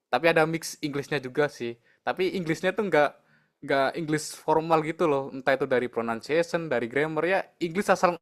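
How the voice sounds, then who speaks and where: A man with a Javanese accent shares his experience in a relaxed, chatty way. He stops often to search for words. His voice is smooth and resonant. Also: mid-range voice. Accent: Javanese Indonesian. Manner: hesitant, many filler sounds, relaxed chatty. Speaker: exchange program speaker. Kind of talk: casual online sharing session.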